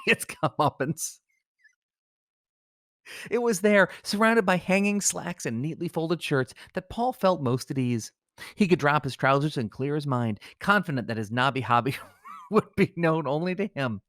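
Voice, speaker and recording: Higher-voiced male comedy podcast co-host. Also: fairly bright tone, dry recording, studio quality. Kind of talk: comedic banter and mock-solemn obituary reading.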